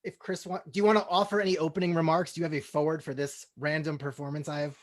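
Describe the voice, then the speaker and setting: A man hosting a comedy table read, talking casually and reading out stage directions in deadpan style. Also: fairly high pitch, quick pace.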